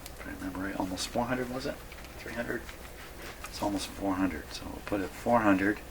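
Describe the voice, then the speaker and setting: A man's voice: fairly low pitch, normal speed, creaky, noisy background. A man giving casual, folksy workbench commentary as a hobbyist host, musing aloud to himself. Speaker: radio repair hobbyist hosting a video.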